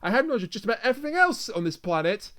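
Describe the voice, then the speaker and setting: A man with a high, clear voice talks as a podcast co-host. He recaps a story casually and banters along the way, his explanations coming in halting pieces. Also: sing-song, sharp tone.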